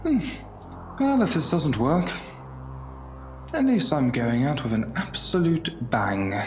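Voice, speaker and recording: A man's voice: on the high side, huge pitch swings, slow, soft background music, exaggerated voice. A man playing a brooding, wry alien time traveller in a fan audio drama, musing aloud to himself. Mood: wry bravado.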